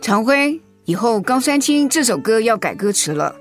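Radio drama pal: enthusiastic, teasing woman, high animated voice, faint music behind. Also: moderate pace. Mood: playful. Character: playful, admiring female friend.

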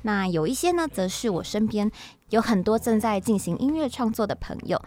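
A woman with a Taiwanese accent talks casually as a solo podcast host. Her voice sits at a middling pitch, and her delivery is chatty and informal, with a self-deprecating touch. Music plays clearly behind her. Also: expressive, a little fast, a little mellow. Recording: music playing under the voice. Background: background music.

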